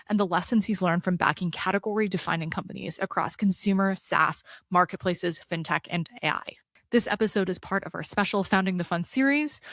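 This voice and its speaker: A woman with a somewhat low voice hosts an interview podcast. She reads a prepared intro, then asks her guest questions with interest. The recording is close and dry, with no room sound. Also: fairly bright tone, no room sound. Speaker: podcast host.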